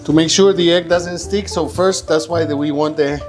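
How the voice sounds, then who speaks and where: A man's voice: on the high side, steady tone, normal speed. A man from Egypt giving a casual, chatty cooking demonstration as a home cook, improvising as he goes and checking in with his listeners. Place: kitchen, while cooking. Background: guitar music.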